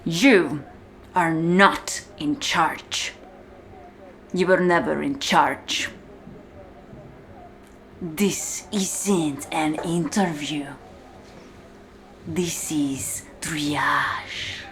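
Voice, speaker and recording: A woman playing a contemptuous, commanding prison warden in a slow, deliberate, menacing ASMR-style roleplay monologue. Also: low-pitched, fairly bright tone, a little hiss or hum, heavily acted. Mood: commanding menace, then hushed menace. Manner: slow, deliberate, menacing, often dropping to a whisper.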